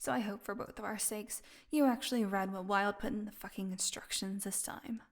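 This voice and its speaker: A woman playing a weakened, hungry female vampire in an intimate audio roleplay, sarcastic and teasing, with a breathy voice that often drops into a whisper. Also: mid-range voice, fairly even intonation, lightly acted. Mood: weary, teasing. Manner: sarcastic, breathy murmurs, drawn-out weary delivery.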